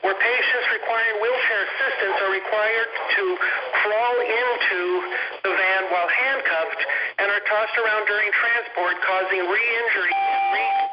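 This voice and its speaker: Man, high voice, formal halting read-aloud delivery, prepared statement, echoey room, heavy background noise. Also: fairly even intonation. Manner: formal, halting over written words. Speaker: patient speaking as panel presenter. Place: echoey room on a conference call. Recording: dull, noisy, echoey conference call audio.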